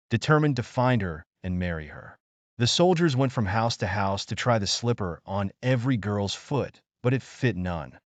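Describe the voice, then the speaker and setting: A man's voice: on the low side, no echo. A man narrating a scripted fairy tale as a bedtime story for children.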